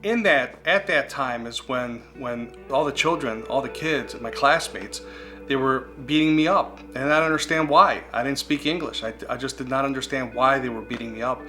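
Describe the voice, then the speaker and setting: A man's voice: medium pitch, moderate pace, bright, forceful tone. A man in his forties, Greek as his first language, earnestly telling his personal recovery testimony in a reflective way. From United States. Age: 40s. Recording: guitar music playing underneath.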